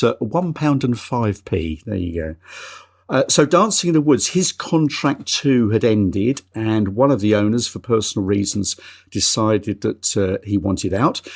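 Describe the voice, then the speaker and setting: A middle-aged man hosting a horse racing podcast in an easygoing, chatty way. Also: lowish voice. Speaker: podcast host. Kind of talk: casual podcast chat.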